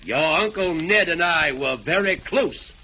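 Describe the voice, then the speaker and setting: A husky, animated old Southern colonel. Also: male voice, a little high, unhurried, heavily acted. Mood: courtly. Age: older. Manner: courtly, formal, polite. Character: courtly, formal old Southern colonel and doting father. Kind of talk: 1940s radio comedy acting. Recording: dull 1940s radio broadcast recording.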